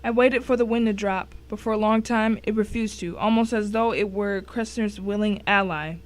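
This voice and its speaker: A woman's voice: mid-range voice, fairly even intonation, normal speed, lightly acted. A woman playing a tense first-person narrator in a dramatic suspense story. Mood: grim, suspenseful.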